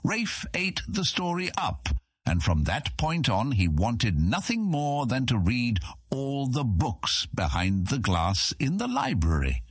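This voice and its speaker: A man narrating a fantasy audiobook in an expressive, scripted storytelling style. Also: medium pitch, slow, breathy edge, dry recording, clean studio sound.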